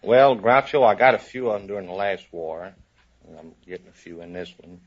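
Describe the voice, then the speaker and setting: Male sailor contestant, fairly deep voice, folksy and casual, muffled vintage radio sound. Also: fairly quick. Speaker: navy sailor, show contestant. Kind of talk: casual interview answers. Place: studio in front of audience. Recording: noisy muffled 1950s radio broadcast recording.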